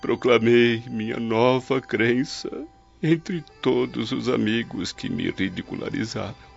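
An actor playing a dying, repentant older Roman nobleman in a radio drama, weak, breathy and faltering, with solemn, pleading delivery. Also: medium pitch, takes it slowly, heavily acted. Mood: remorseful confession. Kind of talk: old radio drama acting. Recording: dull lo-fi radio drama with music.

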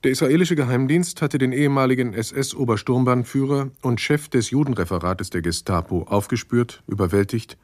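A man reading scripted documentary narration in a sober, factual, measured manner. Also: mid-range voice, darkish tone, no room sound, clean studio sound. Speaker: narrator of a radio documentary play.

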